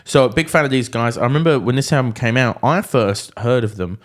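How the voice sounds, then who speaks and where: A man's voice: mid-range voice, brisk. A man co-hosting a podcast in a casual, joking conversation about music. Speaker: podcast co-host. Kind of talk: casual comedic music chat.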